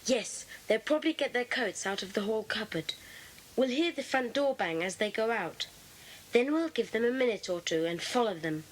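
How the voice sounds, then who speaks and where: Woman playing an earnest English schoolboy, high-pitched and animated. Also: moderate pace, natural voice, poor audio. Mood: conspiratorial. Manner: purposeful, organising. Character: earnest, determined schoolboy detective. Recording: hissy old audio cassette.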